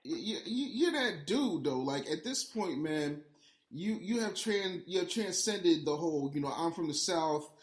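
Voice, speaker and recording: A man's voice: a little high, animated, measured pace. A man hosting a podcast with hyped, slangy, effusive talk, moving between casual interview and ad reads. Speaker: podcast host. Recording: roomy, echoey sound.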